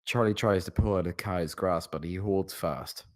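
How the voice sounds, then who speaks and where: Low-voiced man reading stage directions. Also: normal speed. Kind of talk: scripted screenplay narration at a table read.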